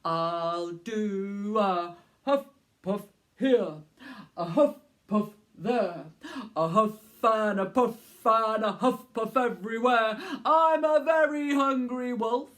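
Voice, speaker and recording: A woman's voice: medium pitch, expressive, a little slow, pitched below the performer's own voice, lightly acted. A woman voicing a hungry big bad wolf in a growly voice for a children's puppet story. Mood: sing-song.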